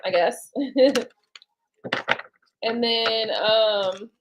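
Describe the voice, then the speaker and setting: A woman's voice: on the high side, extremely slow, very noisy. A woman reading tarot cards on a casual live stream, rambling and chatting to her viewers and to spirit. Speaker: tarot card reader.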